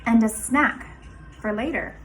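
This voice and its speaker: A woman kindergarten teacher reading a picture book aloud to young children in an expressive, instructive way, pausing for asides. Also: a little high, faint music behind, low-quality recording.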